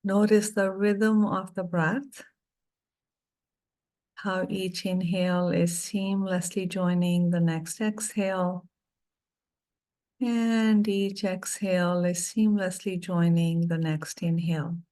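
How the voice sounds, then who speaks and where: A middle-aged woman leading a guided meditation, speaking softly and soothingly with long pauses between phrases. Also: on the low side, flat delivery.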